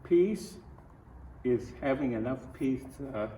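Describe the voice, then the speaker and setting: Slow-reading older man in an echoey room. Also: mid-range voice, animated, dark tone. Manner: folksy, grandfatherly, speaks directly to children. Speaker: storybook reader. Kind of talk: read-aloud of a children's picture book with chatty asides. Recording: roomy echo, distant mic, noisy background.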